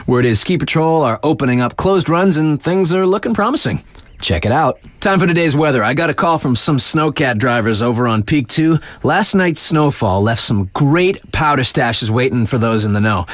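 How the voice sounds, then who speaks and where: An actor playing a laid-back, wisecracking mountain radio DJ in a video game, giving playful scripted bulletins in a wry, slangy, casually hip way. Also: male voice, medium pitch, no echo, close to an everyday voice.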